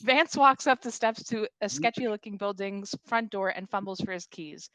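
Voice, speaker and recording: A woman's voice: medium pitch, normal speed, fairly bright tone, no room sound, low-quality recording. A woman reading stage directions at a comedy table read, in an informal, playful way.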